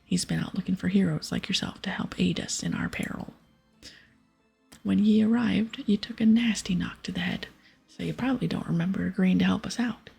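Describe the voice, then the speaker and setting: A gruff male pirate captain from a video game, acted out by a woman, speaks in a very breathy, half-whispered rasp. The delivery is dramatic and full of old sea-dog turns, with deep fry in the voice and music behind it. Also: brisk, dark tone, heavily acted. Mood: gruff, grim. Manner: archaic pirate talk with arrs and ayes. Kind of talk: ASMR video game dialogue read-aloud.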